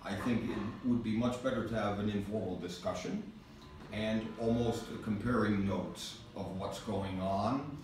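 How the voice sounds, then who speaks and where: A middle-aged American man with a low voice leads an informal meeting in a teasing, joking way. He is recorded far from the mic in a very echoey office, with clear background noise. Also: fairly even intonation, a little slow, darkish tone. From New England, USA. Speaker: think tank director hosting a meeting. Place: small office meeting room. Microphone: distant camera mic.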